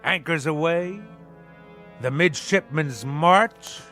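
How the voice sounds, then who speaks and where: A man's voice: fairly high pitch, takes it slowly, sharp tone, faint music behind. An actor playing a pompous, blustering retired navy man in a radio drama, declaiming in nautical turns of phrase. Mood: gleeful, manic.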